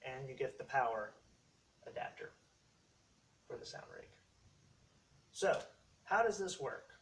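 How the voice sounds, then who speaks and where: A man casually demonstrating hobby gear as a video host, explaining in a halting, rambling way. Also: medium pitch, big pitch swings, a bit bright. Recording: distant mic, faint background noise.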